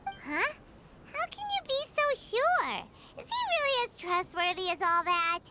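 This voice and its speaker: A woman's voice: very high-pitched, big pitch swings, unhurried, background noise, exaggerated voice. A woman voicing a tiny, nosy fairy companion in a video game who calls herself by name and speaks bluntly. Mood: doubtful. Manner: refers to herself in third person, blunt and curious. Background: soft game music.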